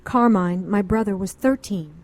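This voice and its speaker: A woman's voice: mid-range voice, moderate pace, a little mellow, lightly acted. An actress playing an anxious, educated middle-aged woman client in a noir radio drama, earnest and pleading. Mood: earnest, rambling.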